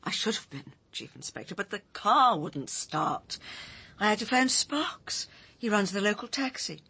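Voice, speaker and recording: Uneasy, very husky Englishwoman. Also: medium pitch, big pitch swings, measured pace, pushed and bright, heavily acted. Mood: explaining. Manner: hesitant, apologetic. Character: polite, uneasy, evasive Englishwoman under questioning. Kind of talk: radio drama acting.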